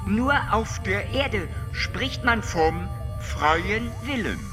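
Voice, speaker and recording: A woman playing a calm, all-knowing alien in a radio drama, measured and lecturing, sometimes sticking on syllables. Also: mid-range voice. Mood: detached, explanatory. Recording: long reverb, music behind.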